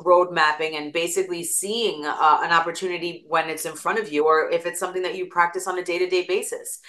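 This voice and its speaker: A woman's voice: on the low side, sharp tone, reverberant. A woman with an East Coast American accent, a guest on an interview show, talking casually and telling stories with lots of examples. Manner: chatty, storytelling with examples. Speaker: guest, attorney and real estate broker.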